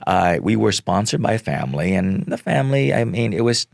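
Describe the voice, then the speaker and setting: Deep gravelly Vietnamese-born man, earnest. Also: lively intonation, dry recording, studio quality. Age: middle-aged. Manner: slow, earnest, pausing often with fillers. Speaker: guest. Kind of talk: reflective interview talk.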